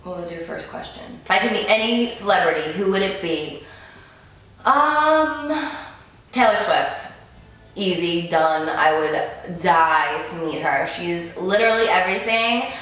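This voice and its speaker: A woman's voice: on the low side, animated, a little slow, a bit bright. Chatty young woman in a roomy echo. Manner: chatty, gushing, many "like"s and "um"s. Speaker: beauty vlogger. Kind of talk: casual Q&A chat to camera.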